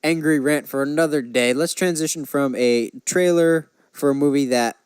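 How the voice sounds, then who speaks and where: A man's voice: fairly high pitch, moderate pace, clean tone, no echo. A man co-hosting a podcast, chatting casually and enthusiastically about his opinions as a fan. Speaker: podcast co-host. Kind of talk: casual movie chat.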